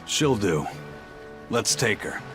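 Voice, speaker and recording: Deep, brooding pirate man. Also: sing-song. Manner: terse, grave, measured. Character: brooding, gruff pirate man haunted by a cursed past. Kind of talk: English-dubbed video game cutscene acting. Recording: dramatic game music behind the voice.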